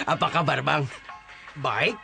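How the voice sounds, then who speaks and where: A man playing a friendly, unrepentant ex-convict in an audio drama, jovial, with a gravelly creak. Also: on the high side, exaggerated voice. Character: cheeky, unrepentant male ex-convict scoundrel, friendly. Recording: music playing behind.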